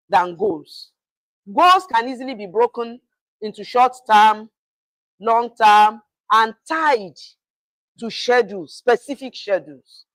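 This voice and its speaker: Middle-aged woman, workshop speaker; loud, measured delivery, unhurried, slightly high voice. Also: low-quality recording. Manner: loud, deliberate lecturing. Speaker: university registry administrator giving a workshop talk. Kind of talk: workshop lecture.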